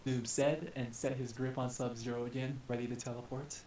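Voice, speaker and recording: Guy reading a dramatic story out loud, deliberate and literary, roomy echo, faint hiss. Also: medium pitch, level tone. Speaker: narrator. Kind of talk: dramatic narration of an action story. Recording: echoey, distant mic with hiss.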